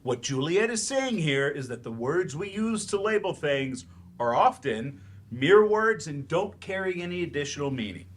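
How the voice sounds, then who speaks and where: A man's voice: fairly high pitch, sing-song, moderate pace. An actor in a staged play reading, playing a bitter, grieving, sarcastic man who teaches remedial English and speaks defensively and emphatically. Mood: impatient, explaining.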